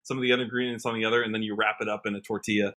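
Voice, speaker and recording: Bright-voiced young American man chatting. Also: on the low side, level tone, racing. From Washington state, United States. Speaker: podcast guest, country musician. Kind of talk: casual interview chat.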